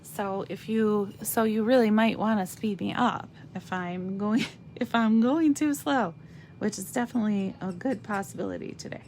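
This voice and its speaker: A woman with a slightly raspy voice giving a chatty live craft demonstration, thinking aloud as she stamps and colors. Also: mid-range voice, darkish tone, a little hiss or hum. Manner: slow, chatty, thinks aloud while working.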